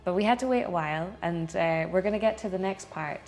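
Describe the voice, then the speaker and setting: Low-voiced woman co-hosting a podcast. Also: fast talker, a little mellow, faint music behind. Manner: conversational, prompting with "you know". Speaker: podcast co-host. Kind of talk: casual conversational podcast.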